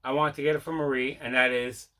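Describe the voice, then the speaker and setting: Male vlog host, bright forceful voice, relaxed rambling unboxing chat with repeats. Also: mid-range voice, fast. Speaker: video host showing mail and movie collection.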